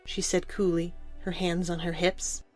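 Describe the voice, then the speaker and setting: Low-voiced woman narrating a story over music. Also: level tone, a little slow, darkish tone.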